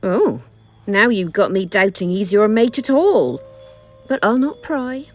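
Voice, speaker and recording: A woman's voice: mid-range voice, expressive, measured pace, no echo, faint music behind. A woman voicing a bold, teasing courtesan with a blunt, colloquial manner in a video game. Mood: shrewd.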